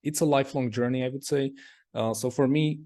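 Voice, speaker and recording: Brief: male interview guest, mid-pitched, casual and rambling, leans on "like" constantly. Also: fairly even intonation, fairly quick, warm tone. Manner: rambling, heavy use of "like". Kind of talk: casual conversation.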